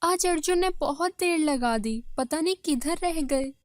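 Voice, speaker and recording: A woman's voice: high voice, measured pace, dry recording, clean studio sound, voice pushed up. A woman voicing a jealous, wounded first wife in an emotional, protesting way for a dramatized story. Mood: worried.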